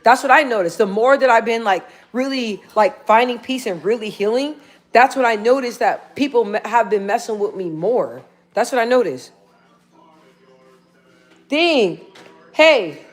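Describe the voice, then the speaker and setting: Chatty woman hosting a livestream. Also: fairly high pitch. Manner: chatty and rambling, with frequent laughs; talks aside to people in the room. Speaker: livestream host. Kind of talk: casual livestream chat, reading viewer comments.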